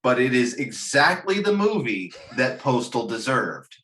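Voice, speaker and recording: A man's voice: medium pitch, sing-song, unhurried, sharp tone, slight background noise. A man hosting a casual discussion about films and games, speaking bluntly and wryly with some swearing. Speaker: podcast host. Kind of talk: casual film and game discussion chat.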